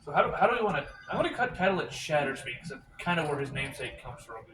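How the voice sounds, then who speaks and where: A man's voice: fairly high pitch, very animated, very fast, a bit bright. A man chatting casually as a player during a tabletop game. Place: indoor room, group game table. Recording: roomy, distant mic, noisy.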